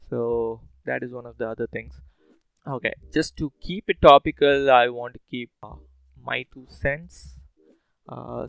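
Rambling man with a creaky voice. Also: medium pitch, fairly bright tone, no echo, poor audio. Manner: rambling, hesitant, informal. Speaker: podcast host. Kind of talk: casual solo podcast talk.

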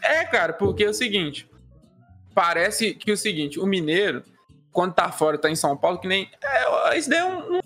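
A man's voice: high voice, moderate pace, faint music behind. A man with a Minas Gerais accent, a guest comedian chatting and joking on a casual podcast. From Minas Gerais, Brazil. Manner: joking, animated, acts out quoted voices.

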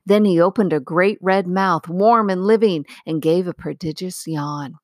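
A woman narrating a storybook as an audiobook reader, with measured, expressive phrasing. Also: lowish voice, no echo, clean studio sound.

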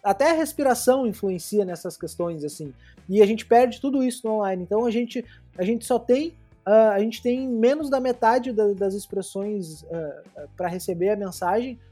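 A woman's voice: medium pitch, dark tone. A woman co-hosting a podcast, explaining things in a rambling, casual way with many restarts and tag questions. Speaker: podcast co-host. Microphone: live streamed podcast.

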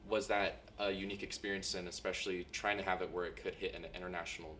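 A man's voice: deep voice, flat delivery, fast talker, pushed and bright, slight background noise. An American man interviewing a band, asking long, rambling questions. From United States. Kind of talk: podcast interview.

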